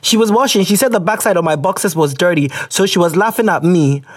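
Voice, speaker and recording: A woman's voice: on the low side, a little fast, slightly rough. A woman with a Ghanaian English accent hosting a podcast, reading out listener letters in a loose, swear-heavy, laughing way. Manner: laughs a lot, swears, animated reactions. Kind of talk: casual chat.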